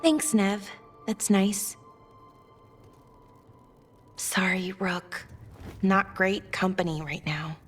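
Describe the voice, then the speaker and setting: A woman's voice: mid-range voice, very slow, long pauses, breathy edge, faint music behind. An actress playing a withdrawn, polite young woman in a video game, hesitant and downcast. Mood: subdued.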